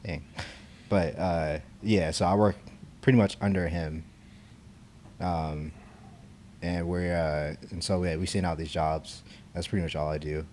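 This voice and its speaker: A man's voice: low voice, steady tone, unhurried, pauses often, a little mellow, a little hiss or hum. A young man co-hosting a podcast, chatting casually and rambling with lots of fillers and restarts. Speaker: podcast co-host, concrete estimator. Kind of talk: casual chat.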